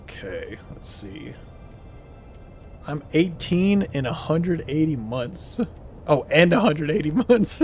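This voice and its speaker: A man's voice: medium pitch, darkish tone. A man in his thirties streaming a game live, chatting casually in a rambling way and answering viewers' chat as he plays. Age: 30s.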